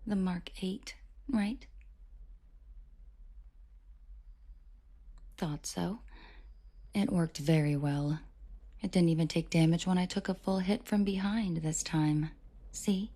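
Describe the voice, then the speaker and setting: Villainess lover , played by a woman, for romance audio: confident, protective, teasing, intimate, unhurried and husky. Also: fairly low pitch, warm tone. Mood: reassuring, fond. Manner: intimate, teasing, sweet-talking with breathy whispers. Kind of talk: scripted romantic audio roleplay.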